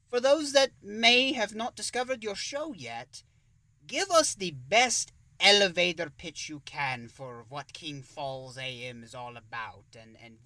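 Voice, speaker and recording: A man's voice: on the high side, bright, forceful tone, exaggerated voice. A man voicing a campy vampire count who hosts a horror show and interviews in a grandiose, formal manner. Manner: theatrical, grandiose, formal wording. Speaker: interviewer and host. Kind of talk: theatrical horror-host introduction and interview.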